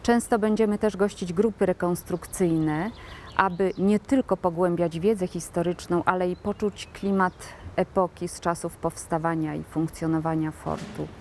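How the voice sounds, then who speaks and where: Low-voiced middle-aged woman giving a statement. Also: fairly even intonation, moderate pace, a little mellow, a little hiss or hum. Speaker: cultural centre director. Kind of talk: prepared interview statement. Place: outdoors by a building.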